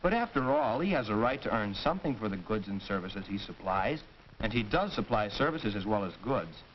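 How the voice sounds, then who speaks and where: Earnest teen schoolboy male actor, 1940s classroom film, emphatic and animated, dull old soundtrack. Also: on the low side, a little fast, a little hiss or hum, close to an everyday voice. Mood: earnest, explanatory. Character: earnest teenage high-school boy explaining his point. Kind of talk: 1940s educational film acting, scripted discussion.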